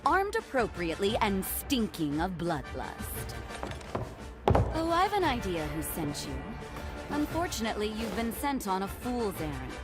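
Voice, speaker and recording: A woman's voice: medium pitch, very animated, measured pace, loud music behind the voice. An actress playing a haughty, playful and predatory noblewoman in a video game, teasing in an elegant, theatrical way. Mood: teasing, amused. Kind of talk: video game cutscene acting.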